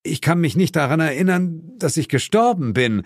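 A man acts out a male ghost hunter in a horror-comedy audiobook, cocky and easily indignant. His protests are wry and animated, sitting a bit high, in a dry recording. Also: moderate pace, no echo.

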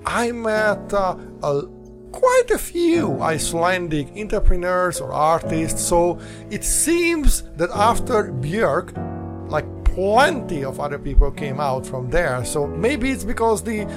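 A man hosting a free-flowing interview, talking in an animated, joking way with mocking exclamations. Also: high voice, slow pace, over music. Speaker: host.

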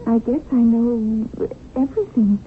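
An actress playing a gentle, perceptive, hurt wife in a radio drama, speaking plaintively. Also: female voice, a little high, unhurried, natural voice. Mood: wounded. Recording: tinny, noisy vintage radio broadcast.

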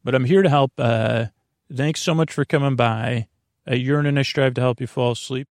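A man hosting a bedtime podcast in a creaky, lulling voice, meandering and repeating himself with halting pauses. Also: mid-range voice, dry recording, studio quality. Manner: soothing, lulling. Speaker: podcast host. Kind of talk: rambling bedtime podcast monologue.

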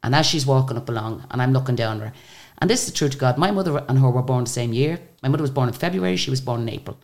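An Irish woman with a very deep voice, a singer chatting as a guest and telling stories in a lively, animated way. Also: very fast. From Ireland. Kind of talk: casual interview storytelling.

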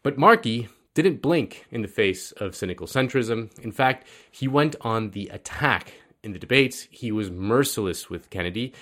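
Low-voiced sarcastic male host. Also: a bit bright. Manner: sardonic, mocking. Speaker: political commentary show host. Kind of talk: sarcastic political commentary.

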